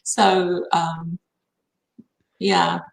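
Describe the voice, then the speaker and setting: A woman's voice: fairly low pitch, sing-song, drawn-out words, roomy sound. A woman joining a live reading as a guest, chatting casually in short, tentative remarks. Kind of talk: casual chat.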